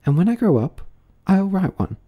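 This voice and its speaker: A man's voice: sits high, very animated, moderate pace, phone-quality sound, pitched above the performer's own voice. A man voicing a curious little girl in a storybook, prim and chatty as she questions herself. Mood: musing. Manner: musing, prim, self-questioning.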